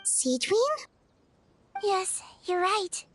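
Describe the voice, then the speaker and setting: A woman voicing a small, gentle but firm head nurse in a video game, calm and measured. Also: very high voice, a little hiss or hum, exaggerated voice. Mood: composed, firm.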